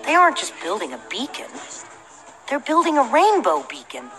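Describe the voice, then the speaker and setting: A woman's voice: fairly high pitch, huge pitch swings, moderate pace, bright, forceful tone, faint music behind, heavily acted. A woman playing an anxious, wry young underdog teammate in a video game. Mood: worried. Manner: wry, worried. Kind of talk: animated video game voice acting.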